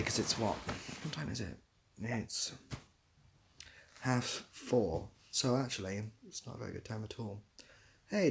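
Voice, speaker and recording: A man's voice: fairly low pitch, slight background noise. A young man hosting a casual livestream, reading out comments and giving dry, self-deprecating, rambling answers. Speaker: livestream host.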